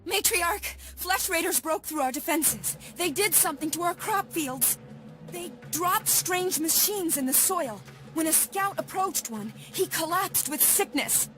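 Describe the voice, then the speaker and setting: An actress playing a frantic young messenger who reports an attack with urgent alarm. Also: female voice, very high-pitched, animated, unhurried, fairly bright tone, exaggerated voice. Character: frantic young woman scout bringing alarm. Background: game score music.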